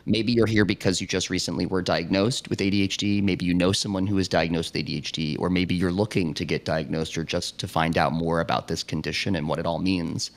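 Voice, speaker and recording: A man in his thirties hosting a podcast, reading a welcoming intro and asking gentle questions. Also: low voice, fairly even intonation, brisk, hardly any pauses. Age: 30s. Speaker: podcast host. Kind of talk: scripted podcast intro and interviewing.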